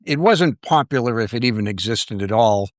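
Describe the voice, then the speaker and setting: A man's voice: mid-range voice, animated, normal speed, no echo, studio quality. A middle-aged man, interviewing in a casual, informal way as a podcast host.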